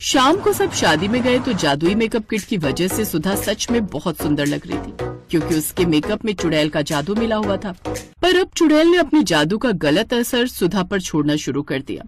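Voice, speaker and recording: A woman's voice: mid-range voice, big pitch swings, moderate pace, music in the background. A woman storyteller reading scripted narration of a tale.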